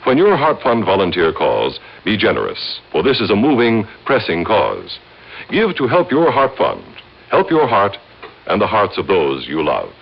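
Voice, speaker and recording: An American man announcing a scripted 1950s charity appeal in a solemn, measured, emphatic way. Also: lowish voice, huge pitch swings, vocal fry, slightly rough. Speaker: radio announcer. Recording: muffled vintage radio recording.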